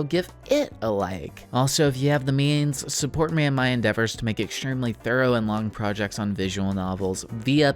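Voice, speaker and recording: Wry, slangy man narrating over music. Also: medium pitch. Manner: self-deprecating, wordy, wry, slangy. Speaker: YouTube video essayist. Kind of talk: casual scripted video narration.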